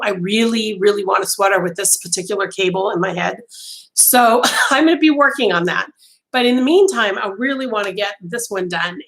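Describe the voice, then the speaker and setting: Middle-aged woman, voice going hoarse, chatty and rambling, webcam audio, small home room. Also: mid-range voice, a bit bright, poor audio. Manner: chatty, many ums and restarts, talks with her hands. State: losing her voice. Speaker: livestream host. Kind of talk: casual chat with live viewers.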